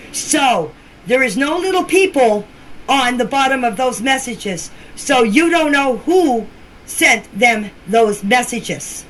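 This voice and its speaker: A woman with a slightly high voice talks informally, as if leaving voice messages on her phone. She explains in a halting way and often circles back to repeat herself. There is some faint noise in the recording. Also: fairly bright tone. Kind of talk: informal voice messages to a group chat. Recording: noisy phone voice clips.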